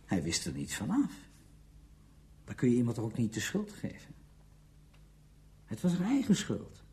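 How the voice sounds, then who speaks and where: An actor playing a defensive, self-excusing bachelor in a radio drama, talking in a hesitant but emphatic conversational way. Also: male voice, mid-range voice, wildly swooping pitch, normal speed, gravelly, a little mellow.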